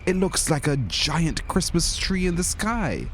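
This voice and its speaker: A curious, brave young village boy from a children's storybook, acted out by a man reader. The voice is lifted high and very animated, full of wonder and exclamation. Faint noise sits behind it. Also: unhurried.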